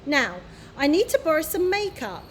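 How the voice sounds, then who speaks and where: A woman's voice: high voice, sing-song, normal speed, a little hiss or hum. A woman voicing an earnest, sensible schoolgirl who speaks plainly and a little primly.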